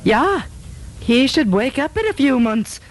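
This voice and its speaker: Scottish police chief, gruff, volatile, bullying, very animated pitch, comedy radio drama. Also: male voice, measured pace, full character voice. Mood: gruff, scornful. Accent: Scottish, put on.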